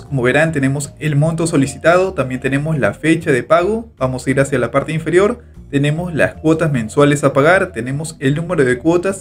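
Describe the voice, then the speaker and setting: Man, tutorial voice-over, slightly high voice, faint music underneath. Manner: steady instructional narration, repeats click steps. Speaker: tutorial host. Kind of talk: screen-recorded step-by-step tutorial. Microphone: voice-over over screen recording.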